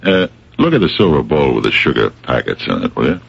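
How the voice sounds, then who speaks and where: A man acting in a radio mystery as a shrewd, dogged police captain who questions people in a deliberate, probing way, with a gravelly voice. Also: very deep voice, sing-song, music in the background, exaggerated voice. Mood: probing, measured. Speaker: radio drama actor. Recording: dull old radio broadcast recording.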